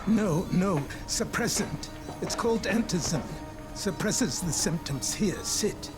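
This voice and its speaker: High-voiced, absent-minded scientist. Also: male voice, animated, over music. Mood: earnest, explaining. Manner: halting, distracted, academic. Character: earnest, absent-minded male scientist doctor. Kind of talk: video game cutscene acting.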